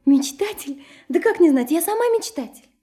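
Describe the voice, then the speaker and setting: Radio drama, naive young woman: very high, mellow voice, lively and curious, playfully pressing with questions. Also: normal speed. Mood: eager, teasing. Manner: girlish, eager, teasing, pressing with questions. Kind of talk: Russian radio play acting.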